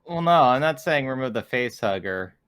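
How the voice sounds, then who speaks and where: A man's voice: medium pitch, lively intonation, normal speed, fairly bright tone, smooth. A man playing a tabletop roleplaying game and chatting casually with the group.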